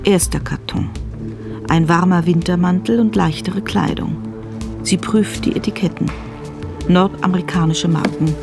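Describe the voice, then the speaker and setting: A woman's voice: fairly low pitch, moderate pace, gravelly. A woman narrating a German radio drama in a sober, precise scripted style. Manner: sober, precise present-tense telling. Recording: music behind the voice.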